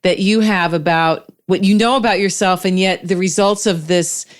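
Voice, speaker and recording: A middle-aged woman hosts an interview, asking thoughtful questions and feeling her way through them. Her voice is smooth and clear, set a little low. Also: steady tone, normal speed. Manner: thoughtful, searching for words while asking. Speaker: podcast host, interviewer.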